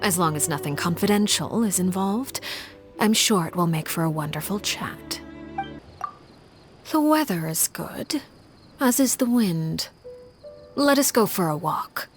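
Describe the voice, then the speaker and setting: An actress playing a poised, calculating woman leader who speaks in a formal, measured way, with faint music behind. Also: lowish voice. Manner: formal, measured, precise diction. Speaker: video game character. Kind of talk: scripted game voice lines.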